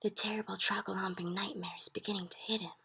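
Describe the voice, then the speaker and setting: A woman voicing a gentle, cautious male storybook giant, speaking in a hushed voice with quaint, muddled grammar. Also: lowish voice, lively intonation, normal speed, creaky, a little hiss or hum. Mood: hushed urgency. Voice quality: hushed, often whispering. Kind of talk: storybook character voice.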